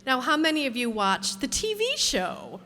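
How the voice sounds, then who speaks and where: A woman hosting a live show, introducing it to an audience in a chatty, unhurried way. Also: high-pitched, sing-song, bright, forceful tone. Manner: chatty, unhurried, friendly asides. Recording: long hall reverb.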